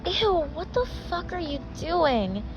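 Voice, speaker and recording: A woman's voice: very high voice, sing-song, takes it slowly, over music, pitched above the performer's own voice, full character voice, low-quality recording. A woman voicing a snarky, blunt young female survivor in a zombie story read aloud. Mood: disgusted. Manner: sarcastic, blunt.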